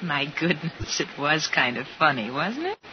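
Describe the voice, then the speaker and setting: An actress in a 1950s radio drama playing a timid, polite young lady, flustered and halting, nervously repeating her words. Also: low-pitched, big pitch swings. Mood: shy, grateful. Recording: muffled, hissy 1950s radio recording.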